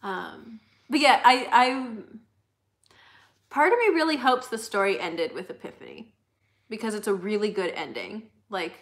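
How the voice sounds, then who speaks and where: A young woman with a high, slightly squeaky voice, hosting a casual livestream and chatting while reading out viewer comments, laughing often. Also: animated, a little slow. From United States. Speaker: livestream host.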